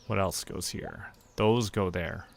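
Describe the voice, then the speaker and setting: A young man streaming a relaxed game, talking to himself and counting under his breath as he plans. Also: low-pitched, slow, vocal fry, slight background noise. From Canada. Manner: muttering, counting to himself while planning. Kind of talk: relaxed gameplay commentary.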